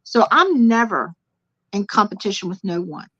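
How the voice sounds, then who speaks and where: A woman's voice: mid-range voice, sing-song, normal speed, fairly bright tone, breathy edge, no room sound. A woman guest in a casual spiritual chat, talking animatedly, repeating words for emphasis and speaking straight to the viewers. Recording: dull lo-fi sound, dry.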